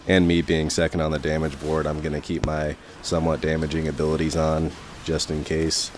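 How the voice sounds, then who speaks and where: Low-pitched man, slightly raspy, rambling game commentary, lots of ums, faint background hiss. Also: monotone, fairly quick. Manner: rambling, many fillers and restarts. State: slightly raspy from long talking. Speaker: gameplay commentator.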